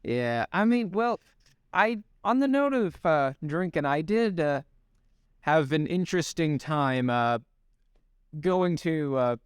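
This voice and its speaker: A young man rambles through a casual podcast chat and swears freely, his voice bouncing up and down animatedly. He speaks slowly and smoothly on a dry, close mic. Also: a little high, expressive, dry recording. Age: young adult. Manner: rambling, swearing, with many fillers and restarts. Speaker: podcast co-host.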